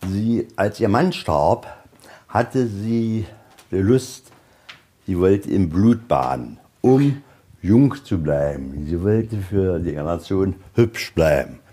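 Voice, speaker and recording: A man with a husky voice giving an informal, explanatory guided tour as a museum guide. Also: low voice, lively intonation, takes it slowly, mellow. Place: indoors in a museum.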